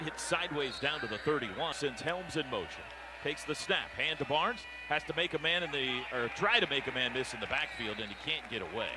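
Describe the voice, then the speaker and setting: A man with a fairly high voice and an American accent gives live radio play-by-play of a football game. He speaks in clipped, running descriptions, with very loud stadium noise behind him. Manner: clipped running descriptions, building to shouts on big plays.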